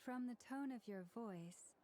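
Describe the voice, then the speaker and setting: Serene, high-voiced moon priestess, acted by a woman. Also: unhurried, a little mellow, a little hiss or hum, close to an everyday voice. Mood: serene, formal. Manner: gracious, formal, observant. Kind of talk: video game character dialogue.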